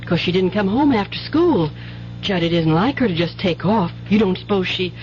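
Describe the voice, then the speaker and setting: A woman's voice: fairly low pitch, animated, normal speed, darkish tone, a little hiss or hum, close to an everyday voice. An actress playing an anxious, doting rural mother in a 1970s radio drama, with folksy, tender speech. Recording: muffled old radio broadcast, music bed.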